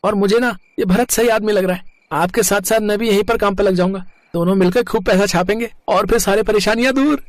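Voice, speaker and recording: A man plays a cheeky, hungry truck sidekick in a story cartoon. He is high-pitched and very dry in sound, always coaxing in street slang. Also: fairly quick, no echo, close to an everyday voice. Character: cheeky, hungry young male truck helper, eager sidekick. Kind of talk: animated moral story dialogue.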